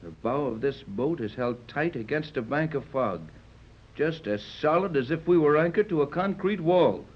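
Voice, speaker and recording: An actor playing a practical, skeptical yacht captain in a 1930s radio serial, speaking with firm authority. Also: male voice, fairly high pitch, expressive, moderate pace. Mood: resigned, firm. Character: skeptical, commanding adult male yacht captain, steady and practical. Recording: dull lo-fi 1930s radio recording.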